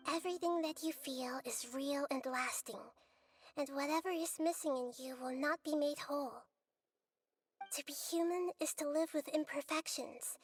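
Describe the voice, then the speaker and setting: A woman voicing a childlike but solemn young female deity in a game, gentle, measured and reassuring. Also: sits high, close to an everyday voice. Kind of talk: dramatic game voice acting. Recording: slightly distant voice over music.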